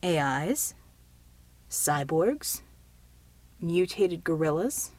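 Woman as steady, commanding military man. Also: fairly low pitch, moderate pace, no room sound, close to an everyday voice. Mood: cautious. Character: steady protective military male helper, quiet but commanding.

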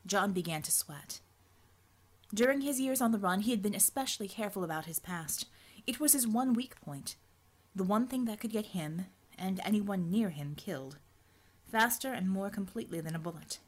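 Female audiobook narrator, mid-range voice, scripted dramatic storytelling. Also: medium pitch.

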